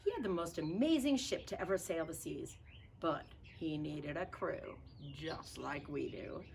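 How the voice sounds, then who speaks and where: A woman's voice: sits low, lively intonation, a little slow, vocal fry, a little mellow, slight background noise. A woman reading a picture book aloud to children playfully, with folksy, chatty asides. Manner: folksy, informal grammar. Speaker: storybook reader for children.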